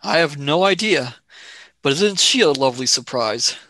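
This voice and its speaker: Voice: mid-range voice, lively intonation, moderate pace, bright, forceful tone, dry recording. An actor plays a young male companion in a space radio drama. The delivery is casual, brash and sarcastic. The sound is close and dry. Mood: wry. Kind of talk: radio play acting.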